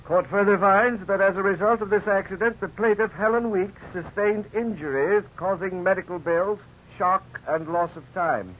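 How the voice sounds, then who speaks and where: An actor playing a stern judge, formal and measured, in a 1950s radio drama. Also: male voice, high voice, a little hiss or hum, natural voice. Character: stern, formal male judge delivering a ruling. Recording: dull 1950s radio recording.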